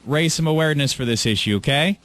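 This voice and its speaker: A man hosting a live call-in radio show, talking with a listener in a patient, polite, measured way. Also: a little high, fairly bright tone, dry recording. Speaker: radio DJ host. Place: radio studio.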